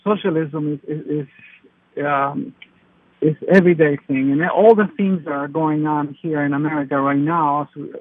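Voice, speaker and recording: A man from Chile calling in to a radio show on his cell phone, talking haltingly with many restarts. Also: a little high, fairly even intonation, no echo. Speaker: caller. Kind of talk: call-in comment. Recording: tinny cell phone line.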